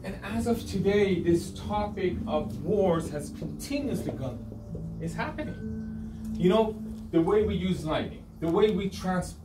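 Earnest halting man speaking from afar. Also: high-pitched, animated. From Sweden. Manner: halting, earnest, restarts phrases often. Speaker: artist giving a presentation. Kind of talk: live talk to an audience. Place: event space with an audience. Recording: roomy sound from a distance, loud music behind.

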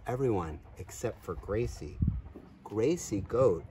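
A man in his forties reading a children's story aloud outdoors, expressive, with playful asides. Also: fairly low pitch, takes it slowly, noisy background. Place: outdoors on grass in a farm field.

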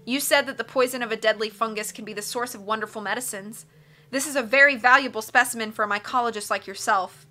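A woman's voice: fairly high pitch, steady tone, quick pace, pushed and bright. A woman voicing an earnest, protective, clever girl, speaking articulately in a storybook reading. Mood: reasoning.

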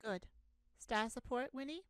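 A woman playing an earnest, easily flustered space ambassador, halting and awkward yet formal, in an ASMR sci-fi roleplay. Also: on the high side, a bit bright, smooth, no echo, natural voice. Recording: noticeable background noise.

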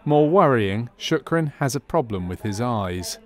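A man's voice: mid-range voice, lively intonation, unhurried, soft background music. A man reading scripted narration for a medical training film.

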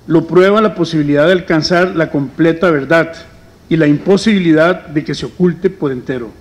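A middle-aged man speaking on a forum panel in a conference hall, halting often with fillers. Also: a little high. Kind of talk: public forum talk.

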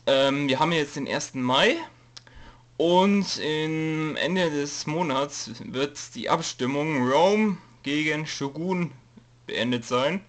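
A man's voice: a little high, fairly bright tone. A young man who has a cold, hesitantly rambling through a casual channel update with lots of fillers and jokes. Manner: hesitant, many fillers, reads from a note, jokey. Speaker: YouTube let's player giving a channel update. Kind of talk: casual rambling info video.

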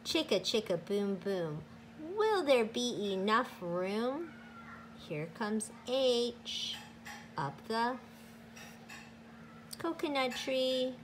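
A woman teacher reading a picture book aloud to children in a deliberate, sing-song, emphatic way. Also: on the high side, big pitch swings, noisy background.

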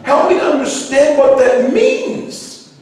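Man, middle-aged, pastor, high voice, fervent sermon, distant, long hall reverb. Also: very animated, takes it slowly. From New Zealand, raised in Iceland. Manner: impassioned preaching, rising to shouts. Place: large church hall with high ceiling. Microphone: handheld mic, heard from a distance in the room. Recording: echoey hall from far off.

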